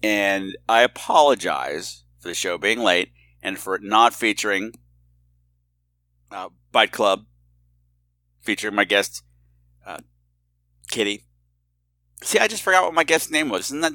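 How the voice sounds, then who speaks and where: A man's voice: fairly low pitch, unhurried, pauses often, bright, forceful tone, dry recording. A man hosting a podcast in a casual, personal monologue, with a theatrical, campy streak and a candid, confiding way of talking. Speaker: podcast host.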